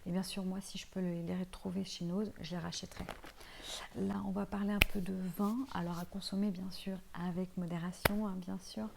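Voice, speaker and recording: Low, gravelly woman chatting casually. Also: level tone, brisk, heavy background noise. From Portuguese heritage, lives in France. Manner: chatty, many fillers, addresses viewer informally. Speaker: vlogger reviewing used-up products. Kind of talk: casual product review chat. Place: home, tiled room.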